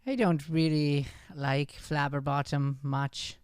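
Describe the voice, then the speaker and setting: A man playing a meek, hesitant, clear-voiced boy. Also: fairly high pitch, fairly even intonation, takes it slowly, no room sound, clean studio sound. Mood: reluctant, candid. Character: meek, hesitant boy, plain-spoken and reluctant. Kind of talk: improvised old-time radio comedy acting.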